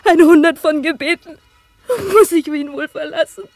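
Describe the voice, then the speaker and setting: A woman playing a grieving, devoted young page in a radio drama, mournful and deliberate. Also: high-pitched, steady tone, no echo, faint music behind. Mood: sorrowful resolve. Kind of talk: classic radio drama acting.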